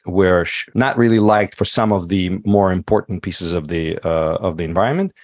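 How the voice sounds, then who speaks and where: A middle-aged man whose first language is not English talks through his answers in an easygoing way, stopping often on fillers and restarts. His voice sits very low. The sound is dry and close, with no room echo. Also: moderate pace. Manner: thoughtful, many fillers and restarts. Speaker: podcast guest. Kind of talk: casual interview talk.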